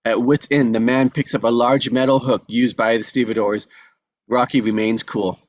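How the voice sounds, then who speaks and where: A man's voice: medium pitch, flat delivery, normal speed, dull audio, slight background noise. A man reading out stage directions as narrator in a scripted table read.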